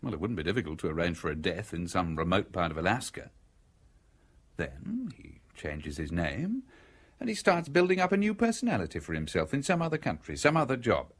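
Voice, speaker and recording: An actor in a radio drama playing a cool, wry, persuasive man who speaks with deliberate irony in a husky voice. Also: low voice, big pitch swings, heavily acted. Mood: deliberate, theatrical.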